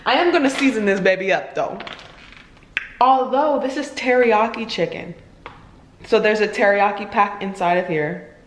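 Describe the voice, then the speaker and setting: A woman's voice: mid-range voice, moderate pace. A young woman in her twenties, chatting playfully to viewers as she cooks at home, filmed by a camera on the counter. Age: 20s. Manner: chatty, playful, talks to viewers while cooking. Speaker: vlogger. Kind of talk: casual cooking vlog chat. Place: home kitchen, indoors. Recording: echoey kitchen with frying noise.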